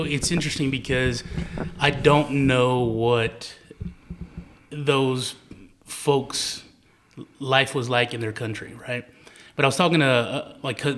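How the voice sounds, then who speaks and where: A man's voice: mid-range voice, fairly bright tone. A man hosting a podcast in a casual, reflective, somewhat tentative conversational way. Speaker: podcast host.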